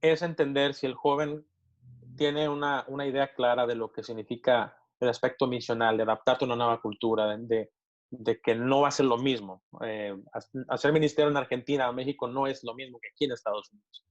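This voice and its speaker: A middle-aged man from Mexico, a pastor with a Mexican Spanish accent, answering interview questions thoughtfully and often pausing to restart his sentences. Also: mid-range voice, flat delivery, highs cut off. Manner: thoughtful, with many hesitations and restarts.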